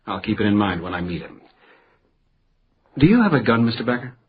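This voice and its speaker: A man's voice: fairly low pitch, very animated, fairly quick, narrow, tinny audio, a little hiss or hum. A man playing an exacting, condescending magistrate in a radio crime drama, curt and formal in his speech. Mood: stern, businesslike. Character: imperious, exacting male magistrate, sarcastic and impatient. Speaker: actor in a radio detective drama.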